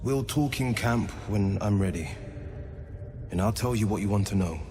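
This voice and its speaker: An actor playing an earnest, haunted monster hunter in a video game, speaking in a measured, solemn, confessional way. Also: male voice, on the low side, background noise, natural voice. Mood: guarded. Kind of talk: dramatic video game monologue.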